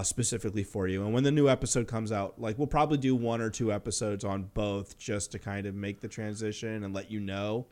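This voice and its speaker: A man co-hosting a podcast, chatting casually in an informal, slightly halting way. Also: on the low side, a little mellow. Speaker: podcast co-host. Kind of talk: casual conversational podcast chat.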